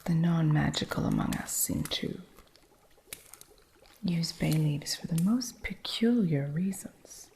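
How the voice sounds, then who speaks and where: A woman voicing an eccentric witch who runs a curio shop, talking in a soft, fond, matter-of-fact ASMR whisper. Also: deep voice, takes it slowly, vocal fry, exaggerated voice. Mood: gentle whisper. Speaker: ASMR roleplay performer. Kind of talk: ASMR roleplay.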